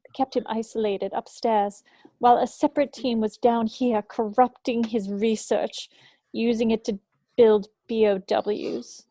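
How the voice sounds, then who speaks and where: A woman at a script table read playing a bitter, grieving woman scientist, speaking gravely and deliberately. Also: a little high, steady tone, mellow, dry recording. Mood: grim explaining.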